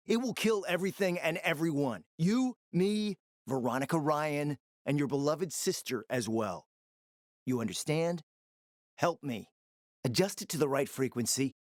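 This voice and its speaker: A man acts out a cunning male spymaster for a dramatic video game. His delivery is theatrical and highly animated, swinging between sly sarcasm and pressing urgency. The sound is dry, with no room around the voice. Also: dry recording, lightly acted. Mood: alarmed, pressing.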